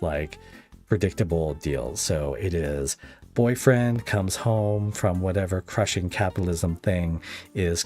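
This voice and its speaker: Male podcast speaker, deep register, informal explanatory chat, faint music bed. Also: mellow. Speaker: ASMR roleplay video creator.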